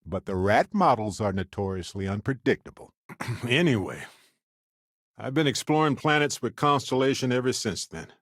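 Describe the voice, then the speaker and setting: Wry, animated male space explorer. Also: medium pitch, normal speed, dry recording, studio quality. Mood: wry, conversational. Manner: conversational, playful, expressive. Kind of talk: video game companion voice lines.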